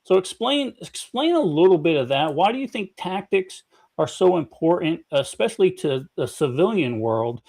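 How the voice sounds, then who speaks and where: A man's voice: sits high, big pitch swings, moderate pace, a little mellow. A man hosting a podcast, asking long rambling questions with many restarts. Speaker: podcast host. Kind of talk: casual interview talk.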